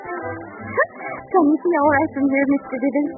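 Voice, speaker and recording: High-voiced, assured radio housewife. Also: female voice, sing-song, loud music behind the voice. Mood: reassuring, coy. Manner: brisk, self-assured, reassuring. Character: bright, capable, reassuring young housewife. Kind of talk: 1930s radio comedy acting. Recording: tinny 1939 radio recording.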